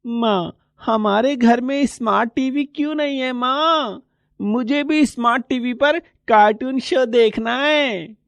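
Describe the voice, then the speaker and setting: A woman's voice: on the high side, slow pace, no room sound. A woman plays a small schoolboy from a poor family in a moral tale. He is wistful and insistent, pleading plaintively in a close, dry recording. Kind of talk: moral story character acting.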